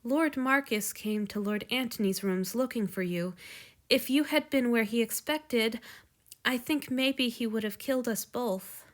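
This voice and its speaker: Woman doing hurt young guy, barely whispering, soft and weak. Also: on the high side, unhurried, natural voice. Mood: hushed, weak. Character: injured young man, weak and quiet.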